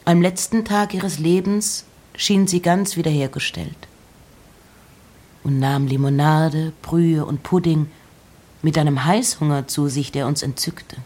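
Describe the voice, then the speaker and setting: Slow, breathy literary reader. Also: mid-range voice, darkish tone. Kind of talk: literary reading of letters and poems.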